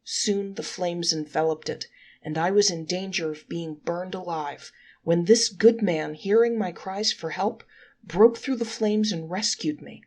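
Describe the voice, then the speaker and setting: Woman as an earnest young widow, raspy. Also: on the low side, unhurried, a little mellow, pitched above the performer's own voice. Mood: earnest, dramatic. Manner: earnest, dramatic. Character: charming young widow recounting her rescue.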